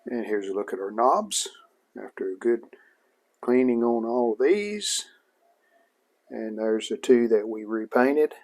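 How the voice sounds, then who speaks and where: Gravelly man narrating workshop restoration. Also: mid-range voice, expressive, a little slow, pauses often, a little mellow. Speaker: hobbyist restorer and host. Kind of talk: casual hands-on workshop narration.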